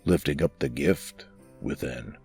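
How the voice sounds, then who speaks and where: A man's voice: a bass voice, a little slow, creaky, a little mellow, faint music behind, full character voice. A man voicing a big brother farm pony who tells a rhyming holiday story. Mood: calm storytelling.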